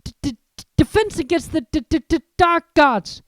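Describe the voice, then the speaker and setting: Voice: high-pitched, lively intonation, a bit bright, voice pushed up. A reader voicing a pale, nervous young male professor who stammers over nearly every word. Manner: stammering, halting, jittery.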